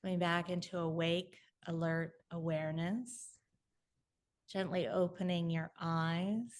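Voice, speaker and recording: A woman leads a group through prayer and a guided meditation. Her voice is lowish and very slow. Her tone is gentle and soothing. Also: steady tone. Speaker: meditation leader.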